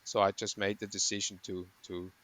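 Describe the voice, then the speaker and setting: A man's voice: fairly low pitch, little pitch movement, fairly quick, a bit bright, no echo. A middle-aged man from Switzerland, a running coach, talking in an interview with rambling, self-correcting explanations. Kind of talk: conversational interview.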